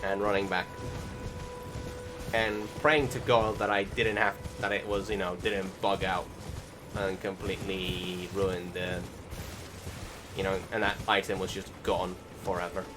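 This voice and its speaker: Loud music plays behind a man with a fairly low voice. He gives casual gameplay commentary as a voice-over, rambling and feeling for his words. His language is informal, with the odd swear word. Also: unhurried, long pauses. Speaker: game video commentator.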